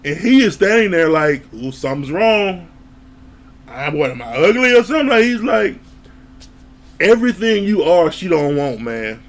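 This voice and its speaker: A man with a slightly higher voice gives a loose, animated spoken recap of a reality show. He talks in an offhand way, swears and laughs, and acts out what the people on the show said. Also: normal speed. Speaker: reality TV reviewer. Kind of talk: casual recap commentary.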